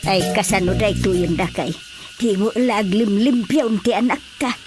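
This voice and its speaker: A woman's voice: medium pitch, normal speed, loud music behind the voice, close to an everyday voice. An actress playing a worried village woman in a radio drama. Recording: radio drama with faint noise.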